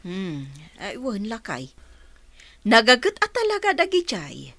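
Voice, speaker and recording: A woman's voice: fairly high pitch, wildly swooping pitch, unhurried, fairly bright tone, no echo, full character voice. A woman playing a chatty older village wife in an Ilocano radio drama, with an Ilocano accent. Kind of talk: radio comedy-drama acting.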